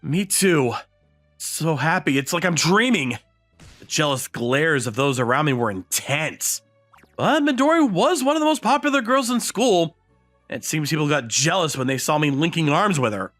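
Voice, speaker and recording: Male actor as modest teenage boy, earnest, bashful, self-deprecating, animated intonation, manga dub narration and dialogue. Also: fairly high pitch, normal speed, soft background music. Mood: contented narration. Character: modest, bashful high school boy narrating his romance. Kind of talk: anime-style manga dub acting and narration.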